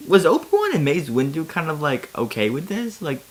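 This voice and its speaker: A man's voice: on the high side, huge pitch swings, a little fast, soft background music. A man hosting a comedic fan fiction reading, mixing theatrical, mock-serious narration with casual commentary.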